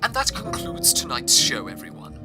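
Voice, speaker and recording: Animated, higher-pitched radio broadcaster played by a man. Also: normal speed. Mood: proud, solemn. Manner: theatrical, earnest, grandly formal. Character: cheerful, loyal male radio broadcaster of a dystopian city. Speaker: radio show host. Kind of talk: scripted old-time radio broadcast narration. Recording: music playing behind the voice.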